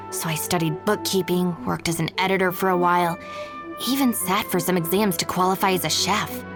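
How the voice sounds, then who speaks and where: A woman's voice: lowish voice, natural voice. A woman voicing a guarded, pragmatic young woman in a video game, speaking in a dry, matter-of-fact way. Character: young woman, guarded, pragmatic, no-nonsense masked gang deputy. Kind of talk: video game character acting. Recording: background music under the voice. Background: music.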